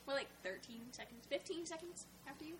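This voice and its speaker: Excitable woman yelling while gaming. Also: high-pitched, fast, noisy background. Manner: excitable, loud exclamations. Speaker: player. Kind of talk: casual gaming chat.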